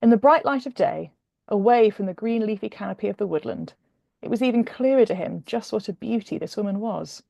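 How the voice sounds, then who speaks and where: Female author-narrator, expressive romance reading, slightly gravelly mellow tone, dry close sound. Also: medium pitch, fairly quick, no echo, highs cut off. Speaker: author reading from own novel. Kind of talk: expressive audiobook-style narration of a humorous romance.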